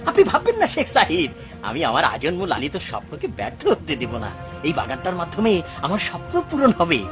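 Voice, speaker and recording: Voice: medium pitch, huge pitch swings, brisk, music in the background. An actor playing a greedy, impatient garden owner in a cartoon, pushy and easily agitated. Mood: eager. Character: greedy, impatient young male garden owner, irritable striver. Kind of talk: dubbed cartoon dialogue.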